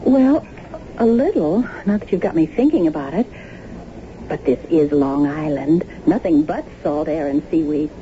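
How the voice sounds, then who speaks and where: A woman's voice: deep voice, expressive, normal speed. A woman acting in a radio drama as an anxious, bewildered young wife, speaking hesitantly and uneasily. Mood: casual, uneasy. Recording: tinny, narrow-band, noisy old radio broadcast.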